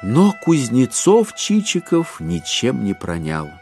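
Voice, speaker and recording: A man narrating a classic story in an audio drama, with an expressive literary delivery. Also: medium pitch, slow, faint music behind.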